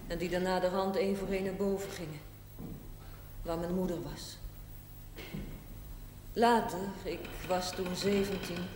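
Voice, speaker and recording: An actress playing a proud, middle-aged woman with a hard past in a television drama, telling her story bluntly and deliberately. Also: medium pitch, mellow, natural voice. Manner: blunt, matter-of-fact, deliberate. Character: frank, proud 45-year-old former prostitute telling her life. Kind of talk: theatrical monologue in television drama. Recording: echoey, faintly noisy 1970s TV recording.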